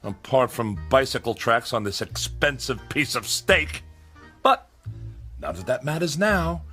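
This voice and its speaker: A man's voice: medium pitch, big pitch swings, a little slow, pushed and bright, faint music behind. An actor playing a fussy, eccentric tech-minded man in a radio drama, speaking in a formal, pedantic, theatrical way. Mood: self-important, urgent. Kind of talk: comedic radio drama acting.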